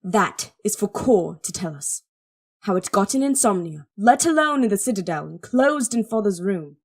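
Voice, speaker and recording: A woman's voice: medium pitch, animated, normal speed. A woman playing an imperious, protective young princess, a king's daughter, in an audio drama. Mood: concerned, determined. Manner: imperious, clipped commands.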